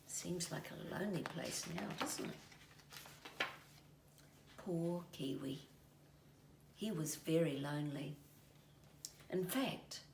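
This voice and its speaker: A woman reading a children's story aloud in an expressive, deliberate way. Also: mid-range voice. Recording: roomy, distant mic, faint noise.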